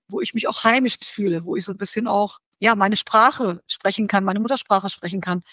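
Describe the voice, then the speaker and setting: A woman giving careful, conversational answers as an interview guest. She talks in a measured way, often searching for her words. The recording is dry and slightly muffled. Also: medium pitch, dry recording.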